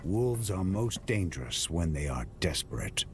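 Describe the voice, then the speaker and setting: A man's voice: sits low, very animated, measured pace. A man playing a stern, battle-hardened warrior commander in a video game, speaking in a terse, grim manner. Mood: grim, resolute. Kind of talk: dramatic video game acting.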